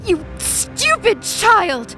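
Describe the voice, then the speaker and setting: A woman voicing an imperious, icy, hot-tempered goddess princess in an audio drama, haughty and forceful. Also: extremely high pitch, big pitch swings, drawn-out words, over music, pitched above the performer's own voice, heavily acted. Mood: furious.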